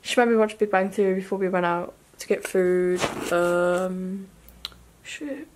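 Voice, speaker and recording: A woman's voice: medium pitch, unhurried, a little hiss or hum. Young woman vlogger, sweary and rambling, handheld camera in a hotel room. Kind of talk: casual vlog chat. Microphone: phone or camera at arm's length.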